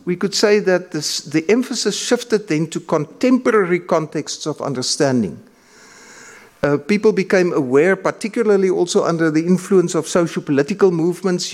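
Halting Afrikaans-speaking male lecturer. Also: fairly high pitch, a little mellow. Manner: measured, halting with frequent fillers and restarts. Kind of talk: academic lecture.

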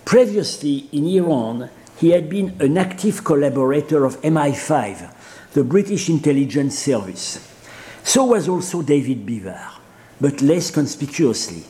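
A man with a Persian accent giving an academic seminar lecture, halting often with fillers and restarts. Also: fairly high pitch, lively intonation, dark tone.

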